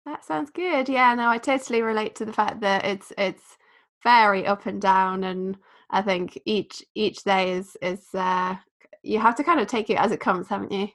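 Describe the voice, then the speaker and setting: A woman from the UK hosting a podcast interview, asking questions in a gentle, hesitant way. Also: medium pitch, fairly even intonation, normal speed. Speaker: podcast host. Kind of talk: casual interview chat.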